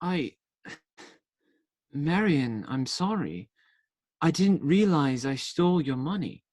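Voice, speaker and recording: A woman acts a young male outlaw hero for a radio drama, low in pitch and swinging widely in tone. He is idealistic and defiant, lovestruck and earnest, and hesitates when challenged. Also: lively intonation, slow pace, a little mellow, no echo, studio quality, heavily acted. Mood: confused, apologetic. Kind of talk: radio play acting.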